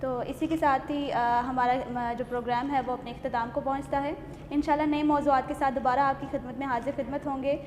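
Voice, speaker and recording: A Pakistani woman hosts a literary talk show in formal, reflective language. Her voice is fairly high but stays very even in tone. It echoes as if recorded in a hall. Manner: formal, reflective, measured wording. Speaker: host. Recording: echoey hall, audible background noise.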